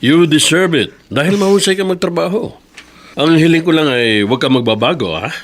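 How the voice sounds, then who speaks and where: A man acting an authoritative, deliberate office boss in a radio drama, stern yet benevolent. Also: on the high side, natural voice. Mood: kindly. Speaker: actor.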